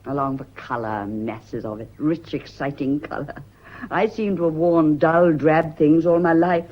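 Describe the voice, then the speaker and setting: Breathy, yearning woman. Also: deep voice, fairly even intonation, moderate pace, muffled recording. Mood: fretful. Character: yearning, guilt-ridden younger woman, a secret lover. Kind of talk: radio drama acting.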